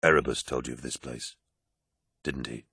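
A man's voice: very deep voice, expressive, fairly quick, a bit bright, husky, no room sound, studio quality, heavily acted. A man voicing a stern, indignant warrior in an audiobook, distrustful and challenging in manner. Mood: grim demanding. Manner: challenging, scornful questioning.

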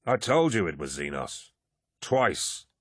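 Man as a low, restrained steadfast captain. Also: big pitch swings, slow pace, a bit bright, dry recording, studio quality, full character voice. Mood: reproachful. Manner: restrained, low-key, plain-spoken. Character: steadfast, grounded male warrior captain.

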